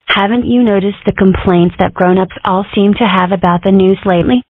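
A woman's voice: medium pitch, fairly even intonation, brisk, no echo, close to an everyday voice. A woman voicing a cheeky, contrary young girl fox in an amateur comic dub, delivering dry jokes matter-of-factly. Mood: earnest. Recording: muffled, phone-like narrow sound.

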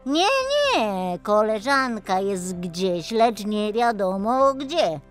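A woman's voice: medium pitch, slow, soft background music, voice pushed up, close to an everyday voice. A woman voicing a shy, polite young male road roller in a children's storybook, hesitant and deferential.

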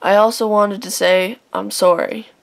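A woman's voice: medium pitch, steady tone, measured pace, gravelly. A woman playing an apologetic but resolute townsperson in a comic book audio drama.

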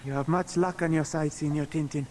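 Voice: medium pitch, fairly even intonation, fairly quick, darkish tone, close to an everyday voice. An actor playing a devoted, anxious young South American guide boy with deferential, simple phrasing in a radio drama. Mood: solemn, respectful. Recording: noisy sound effects behind.

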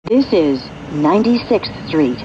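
A woman giving measured, formulaic recorded announcements as a subway train announcer. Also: deep voice, sing-song. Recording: dull recording with clear train noise. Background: subway vehicle noise.

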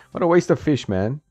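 A man's voice: on the high side, husky, no echo. A man giving casual gameplay commentary, often laughing and talking back to the characters on screen. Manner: chuckling, talks back to the game characters. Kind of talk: casual let's-play commentary. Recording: slightly dull lo-fi mic with game music.

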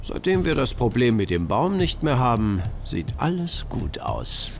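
An actor playing a self-satisfied gym owner and developer in a cartoon adventure game. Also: male voice, mid-range voice, sing-song, a little slow, vocal fry, faint music behind. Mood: confident.

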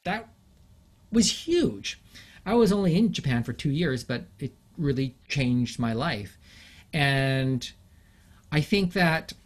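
A middle-aged man from England, an interview guest, talking thoughtfully with frequent fillers and pauses. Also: fairly high pitch, lively intonation. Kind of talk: reflective interview conversation.

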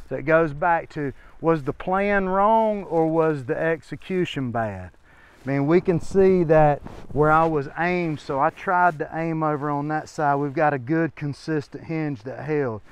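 A man with a fairly high, smooth voice gives a hands-on tree felling lesson outdoors. He works methodically through each step and often checks that his listeners are following. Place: outdoors in woodland.

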